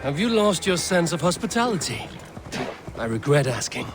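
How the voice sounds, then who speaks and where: An actor playing a confident, taunting Viking raider in a video game, with a sardonic, firm manner. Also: male voice, on the high side, big pitch swings, moderate pace, music in the background. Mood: mocking. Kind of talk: dramatic video game acting. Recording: faint ambient noise.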